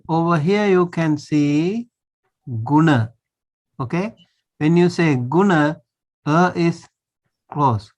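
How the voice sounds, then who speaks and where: A man, a monk, teaching a language class over a video call, patiently checking that students follow. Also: a little high. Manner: patient, checks understanding often.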